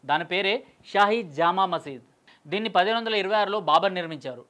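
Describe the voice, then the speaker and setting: A woman's voice: fairly low pitch, lively intonation, fairly quick, fairly bright tone. Woman presenting scripted news commentary.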